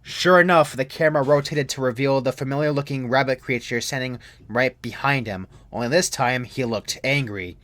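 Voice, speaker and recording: Measured young man narrating. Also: mid-range voice, fairly bright tone. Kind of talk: scripted creepypasta narration.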